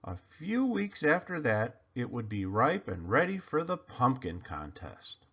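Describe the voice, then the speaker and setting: A man reading a children's storybook aloud in an expressive, emphatic storytelling manner. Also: mid-range voice, a little slow, breathy edge, lo-fi sound. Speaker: storybook reader.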